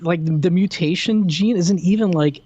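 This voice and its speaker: A man co-hosting a podcast, retelling and picking at films in an animated, casual chat. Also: sits high, moderate pace, dry recording. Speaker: podcast co-host. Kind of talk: casual movie discussion chat. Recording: slightly dull, lo-fi voice track.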